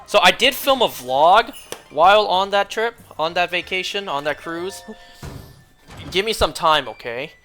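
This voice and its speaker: A young man streaming a game, chatting casually and rambling, clearing his throat often as he is losing his voice. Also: high-pitched, animated, a little slow, pushed and bright, faint music behind.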